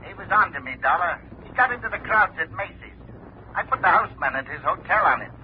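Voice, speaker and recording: Terse hired gumshoe, old radio drama. High, animated voice through a muffled telephone effect. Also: male voice, fast talker, a little hiss or hum. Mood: terse, urgent. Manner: clipped, informal. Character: terse hired male private detective reporting in. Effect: telephone filter. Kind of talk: 1950s radio acting.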